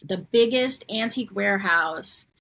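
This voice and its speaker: A middle-aged woman from New York, a podcast guest chatting casually and warmly, rambling a bit and laughing often. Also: mid-range voice, unhurried, gravelly. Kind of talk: casual interview chat.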